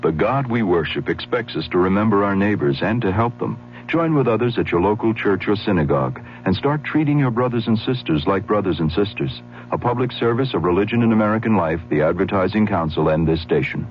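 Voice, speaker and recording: A man's voice: fairly low pitch, lively intonation, a little fast, soft background music. A man reading a scripted public service announcement for radio. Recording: dull old radio broadcast recording.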